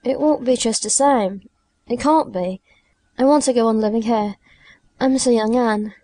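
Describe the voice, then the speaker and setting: Audiobook role: dying young woman, played by a female reader, high soft voice, plaintive, halting, fearful. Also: no echo, close to an everyday voice. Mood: pitiful, despairing. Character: frightened, dying young woman pleading to live. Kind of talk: audiobook character dialogue.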